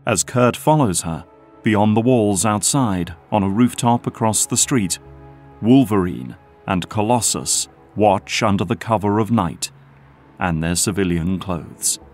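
Dude narrating audio drama scenes, lowish voice, scripted, soft music behind. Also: male voice, animated, a little slow.

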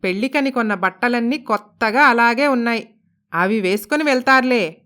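A woman's voice: on the high side, moderate pace, fairly bright tone, close to an everyday voice. A woman voicing a stingy, stubborn middle-aged mother-in-law in a cartoon moral story, blunt and scolding in manner. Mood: scolding, dismissive. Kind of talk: Telugu animated moral story voice acting.

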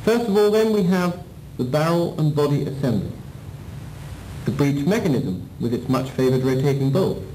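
A man with a slightly high voice narrates a practical demonstration as an instructor. He is formal and methodical, going step by step. The sound is a roomy old videotape copy with faint noise. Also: very animated, moderate pace, mellow. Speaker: weapons instructor.

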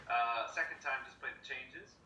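A man leading an audition over a video call, talking in a relaxed, easygoing way with many fillers, heard from a laptop speaker. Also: mid-range voice, normal speed. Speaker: audition director. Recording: far-off, roomy, noisy laptop audio.

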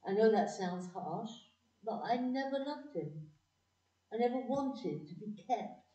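A woman's voice: on the high side, animated, unhurried, warm tone. An actress playing a resolute, candid woman who recounts her life matter-of-factly in an amateur audio play. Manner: matter-of-fact. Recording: echoey room, distant mic.

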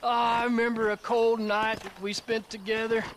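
A giggly lovesick hermit. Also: male voice, extremely high pitch, little pitch movement, normal speed, a bit bright, a little hiss or hum, low-quality recording. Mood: doting. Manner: giggly, pleading, creepy. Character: lovesick eccentric male frontier hermit. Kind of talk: video game cutscene acting.

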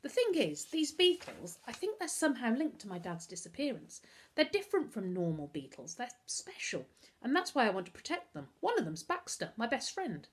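A woman voicing an earnest, loyal young boy in a children's storybook, coaxing and gently persuasive. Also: on the high side, sing-song, moderate pace, breathy edge, pitched above the performer's own voice. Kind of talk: acted dialogue in a children's storybook.

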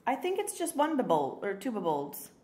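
A woman's voice: a little high, wildly swooping pitch, normal speed, mellow. A woman hosting a podcast, chatting casually and introducing pieces. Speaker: podcast host.

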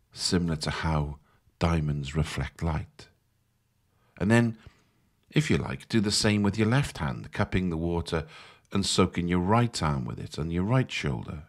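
Deep low voiced guy doing guided meditation, soothing and measured, walks you thru it step by step.

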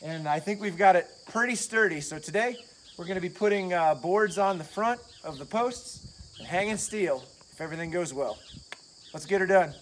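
A man's voice: sits high, normal speed, noisy background. A man in his thirties, a farmer, casually explaining barn repairs on his farm in a plain, practical way. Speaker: farmer and channel host. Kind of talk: casual farm vlog walkthrough. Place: outdoors beside an old barn on a farm. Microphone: handheld camera, often selfie at arm's length. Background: crickets and insects outdoors.

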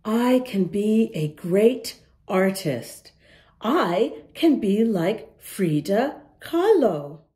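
A woman's voice: medium pitch, sing-song, drawn-out words, dark tone. A woman reading a picture book aloud to young children, encouraging and emphatic, speaking to them directly. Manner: encouraging, addresses young listeners directly with questions. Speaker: children's storytime reader and host.

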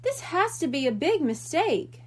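A woman's voice: sits high, very animated, a little slow, pitched above the performer's own voice. A woman voicing a curious, puzzled young boy in a storybook mystery. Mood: reasoning.

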